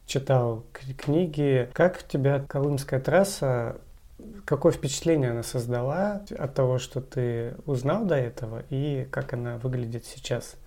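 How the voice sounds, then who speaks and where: A man with a soft, mellow tone and a medium pitch works as a podcast host. He speaks conversationally, introducing and questioning like an interviewer. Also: moderate pace.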